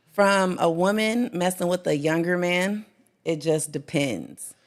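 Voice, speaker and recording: A woman guest on a casual talk show, talking in a laid-back, blunt way and laughing easily. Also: low voice. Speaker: guest co-host. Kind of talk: casual chat.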